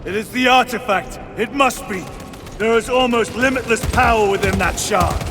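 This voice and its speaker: An actor playing a steady space marine veteran in a video game, measured and disciplined. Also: male voice, high-pitched, long reverb tail, music in the background. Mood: focused.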